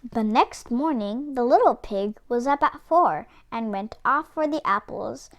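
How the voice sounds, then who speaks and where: A woman reading a children's story aloud as an expressive narrator. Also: on the high side, a little slow. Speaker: children's book reader.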